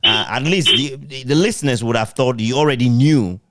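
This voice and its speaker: A man's voice: medium pitch, a little fast, very noisy. A man interviewing conversationally, hesitantly repeating words as he builds up each point.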